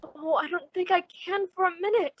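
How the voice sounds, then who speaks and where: Woman acting a very high-voiced, frightened victim. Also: a little fast, no echo, highs cut off. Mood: fearful, pleading. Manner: hesitant, pleading. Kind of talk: radio suspense drama dialogue.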